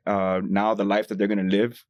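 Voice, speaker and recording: A man from Miami talks warmly about his community work in a podcast interview. His voice sits a bit low and he strings sentences together with easy fillers. The recording is dry with no room sound. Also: little pitch movement, brisk, no echo. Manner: conversational, many fillers like "you know". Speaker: bank employee.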